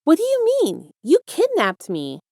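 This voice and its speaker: Woman voicing an anxious, high-pitched teenage boy. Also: wildly swooping pitch, measured pace, warm tone, dry recording, studio quality, exaggerated voice. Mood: alarmed, incredulous. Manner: stammering, blurting, incredulous. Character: startled, anxious homeless teenage boy, wary.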